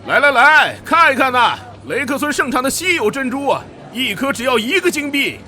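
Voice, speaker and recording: A man voicing an eager market hawker with loud, showy sales calls in an animated comic dub. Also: very high-pitched, moderate pace, soft background music, full character voice. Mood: eager touting. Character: eager street hawker touting rare pearls.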